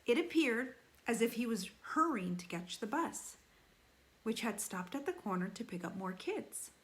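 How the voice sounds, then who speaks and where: A woman reading a children's novel aloud like a teacher, animated, with deliberate emphasis and dramatic slowing on key phrases. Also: a little high. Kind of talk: expressive children's read-aloud.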